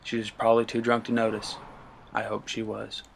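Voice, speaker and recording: A man's voice: lowish voice, completely flat delivery, a little fast, gravelly, slight background noise. A man giving a dramatic first-person reading of a story as its narrator. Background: guitar music.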